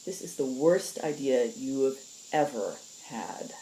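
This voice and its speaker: Woman voicing a dry, low-voiced female squad leader. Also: expressive, slow pace, mellow, slight background noise, heavily acted, poor audio. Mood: dry jab. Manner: dry, commanding, plain-spoken. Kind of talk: space opera story reading.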